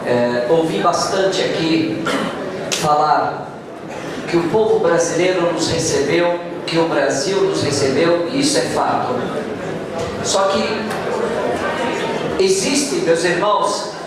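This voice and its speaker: A man giving a slow, emphatic public address to a congress audience, calling for their attention. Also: fairly high pitch. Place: large hall with audience. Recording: echoey hall, far from mic, crowd behind.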